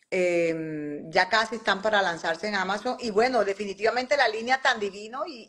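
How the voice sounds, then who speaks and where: A woman, talking as a guest on a live video call and animatedly acting out quoted dialogue as she tells stories. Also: mid-range voice. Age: 40s. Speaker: guest doctor and skincare entrepreneur. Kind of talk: casual live interview. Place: home room by a bright window. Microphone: phone on video call.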